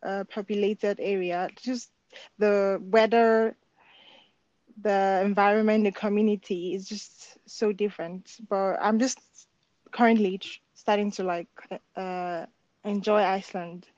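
A woman's voice: medium pitch, steady tone, unhurried, darkish tone, no echo, lo-fi sound. A young woman from Nigeria, a podcast guest chatting casually and friendly, often laughing, with filler words. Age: young adult. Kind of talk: casual chat.

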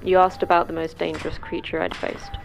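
A terse station manager in a sci-fi horror podcast. Her voice is lowish with a creaky, gravelly edge. She instructs in clipped, matter-of-fact phrases, over faint noise. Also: level tone, fairly quick. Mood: grave, guarded. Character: terse, stern female manager of a secret monster prison. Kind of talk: sci-fi horror audio drama acting.

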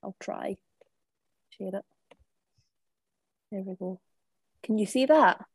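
A woman's voice: mid-range voice, slow, creaky, breathy edge, dry recording. A woman hosting a video call, chatty and a little flustered while sharing her screen. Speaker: host. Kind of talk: casual chat on a video call.

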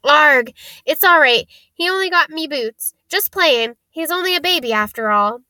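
Woman as a big male storybook gamekeeper, blustery, sentimental, doting, raised pitch, dry close sound. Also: lively intonation, moderate pace, bright, forceful tone, no room sound. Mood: pained, indulgent.

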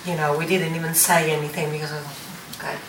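A woman with an Italian accent, answering a question about her church's team in a relaxed, easygoing way. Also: deep voice, quick pace. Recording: echoey room, distant mic, some noise.

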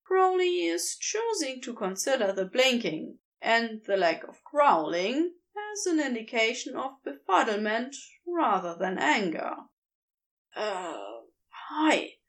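A woman reading fan fiction aloud as an audiobook in a measured, expressive storytelling manner. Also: on the high side. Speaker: podfic reader. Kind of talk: fanfiction audiobook narration.

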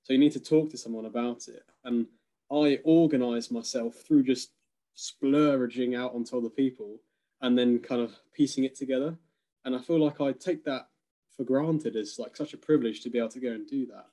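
A man in a casual conversation, thinking aloud with frequent hesitations and soft laughs. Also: mid-range voice, steady tone, normal speed.

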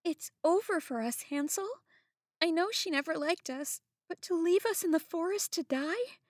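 A woman's voice: extremely high pitch, animated, moderate pace, no echo, clean studio sound, voice pushed up. A woman voicing a frightened, tearful little girl in a spoken fairy-tale reading. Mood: terrified. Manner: tearful, panicky.

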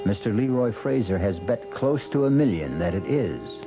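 A man's voice: lowish voice, big pitch swings, moderate pace, music in the background. A man hosting a radio mystery drama, narrating in a ponderous, rhetorical scripted style. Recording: dull 1970s radio broadcast recording.

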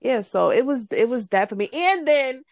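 A woman's voice: high-pitched, animated, moderate pace, no echo, clean studio sound. A woman, co-hosting a casual chat, frank and pressing with her questions. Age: late 20s. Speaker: podcast co-host.

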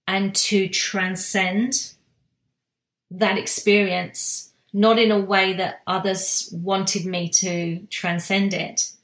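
A woman giving a slow, reflective spiritual talk, soft-spoken, with long pauses and restarts. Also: fairly low pitch, reverberant. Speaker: spiritual guide sharing monthly energy reading.

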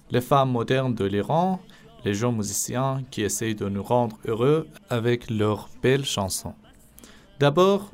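A young man from Iran speaks French, his voice sitting at a middle pitch. He hosts a podcast in calm, earnest narration, now and then pausing to hesitate. Faint music plays underneath him. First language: Persian. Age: 20s. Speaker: podcast host.

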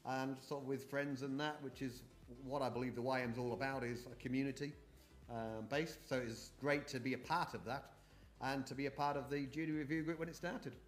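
A man's voice: medium pitch, fairly even intonation, fairly quick. A middle-aged man from New Zealand who moved to the UK as a child, chatting and rambling through memories as an interview guest. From born in New Zealand, moved to the UK aged eight. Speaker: podcast guest. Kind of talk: casual interview, reminiscing.

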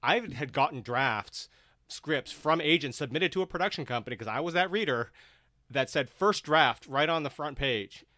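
A man's voice: on the high side, expressive, moderate pace, sharp tone, dry recording, clean studio sound. A man hosting and moderating a live-streamed panel discussion in a conversational way.

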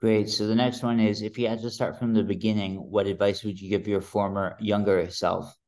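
A man's voice: on the low side, flat delivery, normal speed, a little mellow. A man interviewing a guest, moving through numbered questions with short, upbeat prompts.

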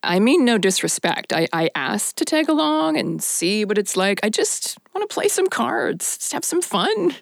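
A woman's voice: a little high, big pitch swings, moderate pace, vocal fry, hardly any pauses, no room sound, clean studio sound. An actress playing a young female undercover cop who poses as a novice gambler in an audio drama. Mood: hesitant, eager.

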